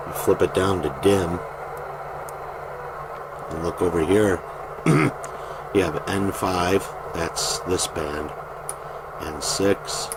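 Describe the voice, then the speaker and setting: A man giving a casual, plain-spoken product demonstration as a private seller, in an unhurried, informal way. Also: low voice. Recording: noisy home video, steady background noise.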